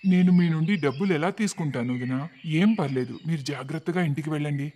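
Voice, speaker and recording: Man as a quick, kindly brother-in-law. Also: on the high side, dark tone, close to an everyday voice. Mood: reassuring. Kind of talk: acted cartoon story dialogue. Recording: faint background noise.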